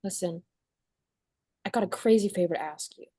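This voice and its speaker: Weary, secretive teen heroine played by a woman for horror table read; soft-edged, mellow tone, anxious but stubborn. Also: fairly low pitch, normal speed, husky. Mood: earnest, pleading.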